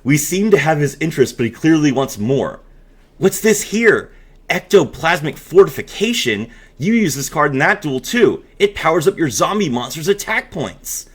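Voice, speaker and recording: A man's voice: fairly high pitch, very animated, normal speed, husky. A man hosting a card game video, mixing casual commentary with theatrical, emphatic reading aloud.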